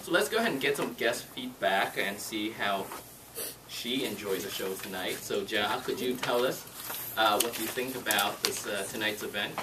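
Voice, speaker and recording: A man's voice: lowish voice, normal speed. Man leading a club meeting, distant and noisy. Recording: distant mic with loud noise.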